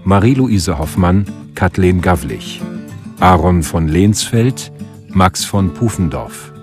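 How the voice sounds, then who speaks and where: A man reading the closing credits of a radio play in a measured, formal announcer style. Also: low-pitched, lively intonation. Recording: guitar music clearly behind.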